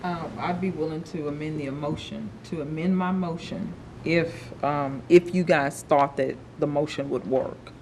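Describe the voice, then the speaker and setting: A woman's voice: sits low, steady tone, unhurried, few pauses, darkish tone. A woman on a planning commission speaks animatedly about a motion. She is informal and says y'all. Faint hiss sits behind her voice. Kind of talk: public meeting discussion. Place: city council chamber.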